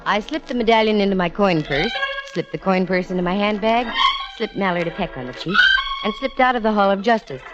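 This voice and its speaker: A woman's voice: medium pitch, lively intonation, fairly quick, over loud music, natural voice. An actress playing a wry, flirtatious female private detective with an American accent, breezy and teasing in 1940s radio style. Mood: cool narrating. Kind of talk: 1940s radio acting and narration. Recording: muffled old radio recording.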